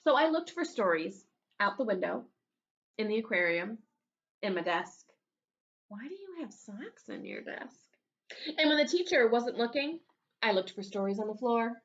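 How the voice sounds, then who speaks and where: A woman's voice: on the high side, very animated, normal speed, pauses often, dull audio. A woman reading a children's storybook aloud as a schoolteacher, expressively, with asides to her young pupils. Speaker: elementary school teacher.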